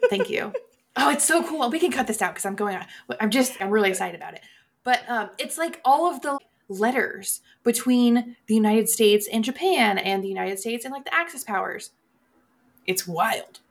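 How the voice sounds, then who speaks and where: The co-host on a casual movie podcast who wanders off on tangents, a woman with a gravelly fry in her voice. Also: on the high side, lively intonation, moderate pace. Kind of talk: casual chat about horror movies.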